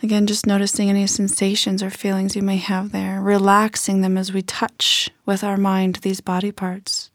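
A woman leading a guided body scan meditation in a gentle, unhurried manner with soft phrase endings. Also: medium pitch, completely flat delivery, dry recording, clean studio sound.

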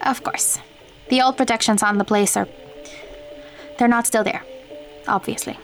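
An actress playing a calm, supportive woman in an audio drama, gentle and measured, like an attentive mentor. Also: on the high side, soft background music, lightly acted. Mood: understanding. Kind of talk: naturalistic audio drama acting.

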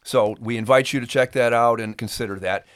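A man's voice: medium pitch, fairly even intonation, a little fast, clear, resonant tone, no echo. A middle-aged man hosting a podcast, speaking to listeners in an earnest, folksy, conversational way. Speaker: podcast host and ministry performer.

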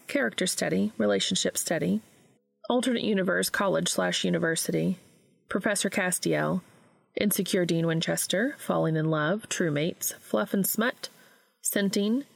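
A woman's voice: medium pitch, creaky, no room sound. A woman hosting and reading fan fiction aloud as scripted narration. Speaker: podcast host and reader.

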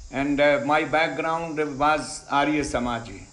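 A middle-aged Indian man whose first language is Hindi, telling his faith story slowly and deliberately, pausing on many "uh"s and repeating phrases. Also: a little high, fairly bright tone, reverberant. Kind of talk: unhurried personal testimony. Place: ashram in India.

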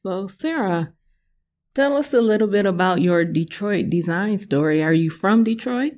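A woman's voice: medium pitch, lively intonation, moderate pace, a little mellow. A woman co-hosting a podcast, introducing and welcoming a guest in a friendly way. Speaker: podcast co-host. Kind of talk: podcast interview.

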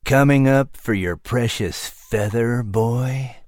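A man voicing a menacing, sneering panther in a storybook, with a low growl and a slow, taunting delivery. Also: a little mellow, no room sound, clean studio sound, lightly acted. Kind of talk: audiobook animal character acting.

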